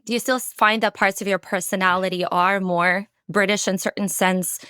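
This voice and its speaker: A woman hosts a podcast interview in a mid-range voice. She builds her questions slowly, pausing on "um" and "uh." The recording is close and dry. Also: mid-range voice, fairly even intonation, bright, forceful tone, no echo. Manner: hesitant, many "um" and "uh" while forming questions. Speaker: podcast host. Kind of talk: casual interview conversation.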